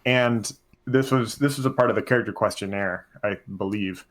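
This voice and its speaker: A man hosting a casual roundtable, deliberate and halting as he rephrases his questions. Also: lowish voice, a bit bright. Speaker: podcast host posing questions.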